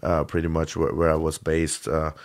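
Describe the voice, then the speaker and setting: Deep-voiced man, halting with fillers. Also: monotone. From Iran. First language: Armenian. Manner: hesitant, many fillers and restarts. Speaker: guest. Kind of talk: conversational interview.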